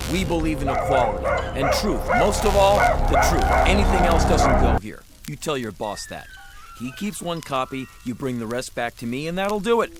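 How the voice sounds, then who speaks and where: An American man playing a fast-talking, eager used-car salesman with folksy, slangy patter in a radio drama. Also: fairly high pitch, sing-song, loud music behind the voice. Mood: earnest, urgent.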